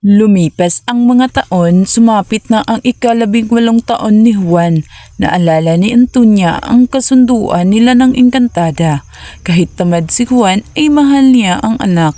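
A woman narrating a cartoon folk tale in a plain, steady storytelling manner. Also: a little high, a little slow, dry recording.

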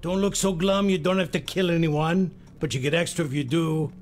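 Guy doing a teasing noir game mob boss, highish voice, friendly menace. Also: fairly even intonation, normal speed, natural voice. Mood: jovial menace. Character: smooth-talking, menacingly jovial male mob boss. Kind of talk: noir video game voice acting.

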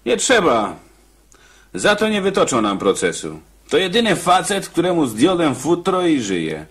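An actor playing a streetwise, dismissive criminal in a prison radio drama, talking in an offhand, brusque way. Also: male voice, fairly high pitch, huge pitch swings, measured pace. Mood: matter-of-fact.

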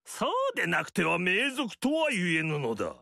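A self-important middle-aged nobleman lectures with lofty airs in a game,. His voice sits high and bright with a gravelly rasp and swings widely in pitch. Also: wildly swooping pitch, moderate pace, no echo, studio quality, exaggerated voice. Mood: haughty. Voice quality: haughty, lecturing. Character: pompous middle-aged male noble lord, proud of lineage. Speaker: game character. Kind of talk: game story dialogue.

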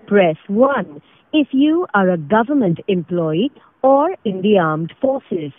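A woman with an Indian accent reading formal, scripted automated phone prompts in a measured way over a phone line. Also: mid-range voice, very animated, no room sound. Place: recorded phone call. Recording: tinny narrow phone line recording.

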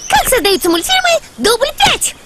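A woman's voice: extremely high pitch, sing-song, normal speed, heavy background noise. A woman voicing a small, perky cartoon film-set announcer making short announcements, with a roomy echo. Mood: perky, announcing. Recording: roomy echo under loud music.

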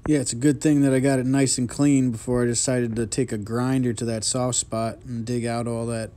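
A man in his thirties casually vlogging his sailboat restoration, chatty and relaxed, swearing and laughing to himself while holding the camera at arm's length. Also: medium pitch, brisk. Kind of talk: casual self-filmed vlog narration. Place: inside a small boat cabin and outdoors in a yard. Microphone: handheld action camera at arm's length.

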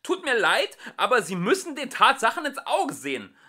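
A man's voice: very high-pitched, wildly swooping pitch, normal speed, sharp tone, voice pushed up, heavily acted. A man voicing a fussy, harried male council official in an audiobook reading, speaking in an insistent, officious way.

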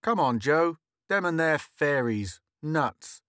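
A man's voice: high-pitched, slow, gravelly, fairly bright tone, no echo, clean studio sound, voice pushed up, heavily acted. A man voicing a rude, mocking twelve-year-old boy in a storybook reading.

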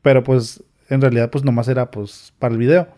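Young man, mellow voice. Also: mid-range voice, brisk. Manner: animated, acts out quoted comments in a raised voice. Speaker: guest. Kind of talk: casual storytelling. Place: small studio with a sofa. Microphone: studio mic on a boom arm, wearing headphones.

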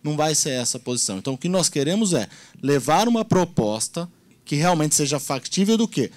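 A man's voice: fairly high pitch, animated, brisk. A man, earnestly explaining points at a council meeting on a handheld mic. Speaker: meeting participant. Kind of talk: public meeting discussion.

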